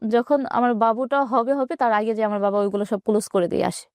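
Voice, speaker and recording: A young Bangladeshi woman recounts her own life in an interview. Her voice sits a little high and moves quickly, matter-of-fact in delivery. The sound is dry, with no room around it. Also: darkish tone, no echo. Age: 20s. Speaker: interview guest telling own life story. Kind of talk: personal storytelling in an interview.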